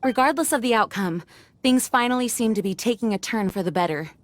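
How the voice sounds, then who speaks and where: A woman's voice: fairly high pitch, expressive, fairly quick, no room sound, lightly acted. A woman voicing a dutiful, earnest knight leader in an anime-style video game, formal and composed. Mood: relieved.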